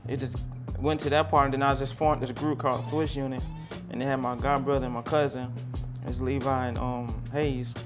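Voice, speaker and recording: A laid-back man in his thirties, a rapper chatting loosely about how he got started and laughing easily between thoughts. His pitch sits in an ordinary middle range. Loud music plays behind him at a live event. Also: level tone, moderate pace, hardly any pauses. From Jacksonville, Florida. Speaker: rapper, showcase guest. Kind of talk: casual interview chat.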